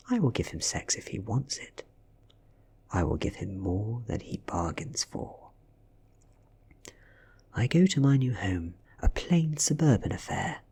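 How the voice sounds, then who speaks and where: A British man reading a story in a soft ASMR style, half-whispered and breathy, with deliberate dramatic pauses. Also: mid-range voice. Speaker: audiobook reader.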